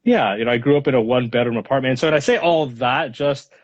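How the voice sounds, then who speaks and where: A man's voice: mid-range voice, fast. A man in his thirties from Los Angeles, whose first language is Spanish, answers interview questions in a reflective way with many fillers. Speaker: guest speaker, lawyer. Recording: dull lo-fi audio.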